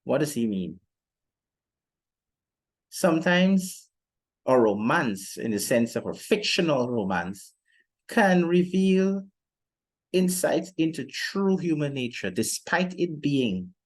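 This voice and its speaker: A young man presenting an explanatory podcast monologue in a measured, deliberate way with formal phrasing. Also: a little high, sing-song. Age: young adult. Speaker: student podcast host.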